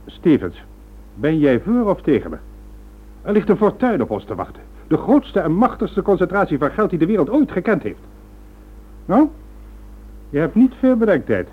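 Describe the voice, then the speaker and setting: A narrow, phone-like radio play recording carries a domineering male crime boss. His voice sits fairly high and moves in lively sweeps, scornful and commanding, with polite words used as threats. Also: normal speed, pauses often, breathy edge, full character voice. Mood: pressing, triumphant. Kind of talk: radio drama acting.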